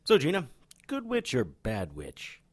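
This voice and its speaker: A man's voice: mid-range voice, wildly swooping pitch, unhurried, husky, heavily acted. A man playing a cocky, wisecracking occult private investigator in an audio drama, wry and irreverent in noir style. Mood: cocky probing. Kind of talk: audio drama dialogue and first-person narration.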